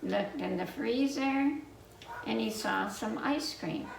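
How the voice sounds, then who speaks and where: A woman telling a children's story from memory in a halting, meandering way, pausing to put questions to her listeners. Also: fairly high pitch, vocal fry. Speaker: library storyteller. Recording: roomy, distant mic with faint noise.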